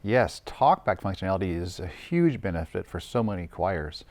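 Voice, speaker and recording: A man's voice: low voice, very animated, normal speed, darkish tone. A man hosting a scripted promotional interview, presenting as an audio and video designer.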